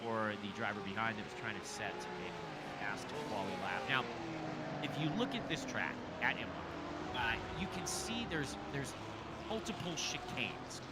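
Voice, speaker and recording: A man's voice: mid-range voice, animated, moderate pace, gravelly. A man doing casual solo commentary on a sim race, chatty and thinking aloud as he goes. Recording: loud race car noise behind. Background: sim race car engines.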